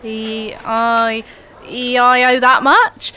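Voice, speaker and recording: Woman acting bewildered, indebted farmer, bright voice. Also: a little high, little pitch movement, very slow, full character voice. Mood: bewildered, anxious. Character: bewildered, worried farmer deep in debt. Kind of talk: audio comedy sketch acting.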